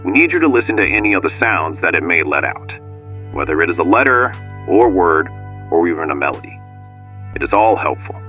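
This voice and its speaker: A man's voice: sits low, sing-song, fairly quick, sharp tone, muffled recording, faint music behind, full character voice. A man playing a middle-aged doctor who supervises a test, speaking in a formal, businesslike, instructive way in an audiobook. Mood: brisk, instructive. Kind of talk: audiobook character acting.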